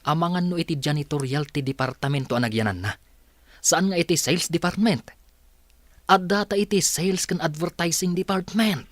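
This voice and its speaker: A man's voice: fairly high pitch, moderate pace, no room sound, studio quality, lightly acted. A man with an Ilocano accent playing an anxious, exasperated company executive in a radio drama. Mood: worried.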